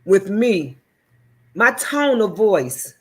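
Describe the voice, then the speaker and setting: A woman guest with a deep voice chats casually on a talk show. She talks hesitantly, leaning on ums and you-knows as she works out her thoughts. Her pitch sits in an ordinary middle range. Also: big pitch swings, drawn-out words. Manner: hesitant, many fillers. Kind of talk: casual discussion.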